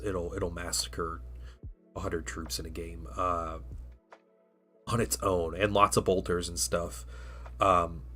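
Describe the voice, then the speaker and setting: A man's voice: low voice, bright, forceful tone. A man getting over a cold, chatting casually on a live stream while painting miniatures, rambling with many fillers and restarts.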